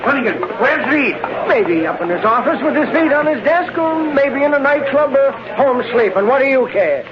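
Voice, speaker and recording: Harried cranky newspaperman in old radio show, super high voice, busy crowd noise. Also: expressive, moderate pace. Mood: irritated. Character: excitable, grouchy, harried male newspaper editor. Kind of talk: 1930s-40s radio acting. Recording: muffled vintage radio recording, noise behind.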